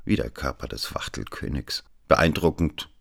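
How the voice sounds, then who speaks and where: An actor playing an examining magistrate who narrates a radio drama in a measured, detached, literary manner. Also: male voice, extremely low pitch, gravelly, fairly bright tone, no room sound, studio quality, full character voice. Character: examining magistrate narrating wryly, strong male friend of a count. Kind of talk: scripted first-person radio drama narration.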